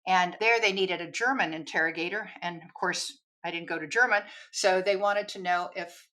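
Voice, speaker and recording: An older woman speaks as a podcast guest, recounting her years in army counterintelligence with lively storytelling and easy laughter. Her voice sits somewhat low for a woman. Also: normal speed. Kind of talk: telling her career story in an interview.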